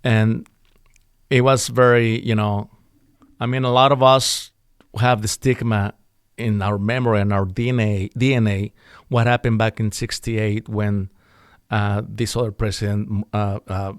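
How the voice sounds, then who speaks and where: A man's voice: on the low side, level tone, a little slow, smooth, no echo, clean studio sound. A middle-aged man, a musician chatting as a guest, talking in a loose, rambling way full of fillers and restarts. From Mexico City. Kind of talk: casual interview chat.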